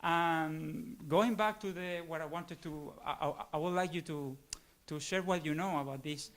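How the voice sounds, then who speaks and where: A man in the audience posing a long question in a formal, halting way, often searching for words. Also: a little high, steady tone, a bit bright. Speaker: audience member asking a question.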